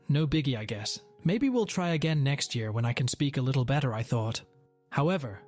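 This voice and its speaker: A man reads a first-person story in a steady, even way, his voice sitting at a middle pitch. Faint music plays quietly underneath the narration. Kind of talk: scripted narration of a reddit story.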